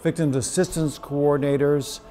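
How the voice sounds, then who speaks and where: A man's voice: on the high side, fairly even intonation, normal speed, dark tone, soft background music. A middle-aged man, a priest, speaking emphatically and insistently in an interview indoors. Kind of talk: documentary interview. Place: dim indoor room.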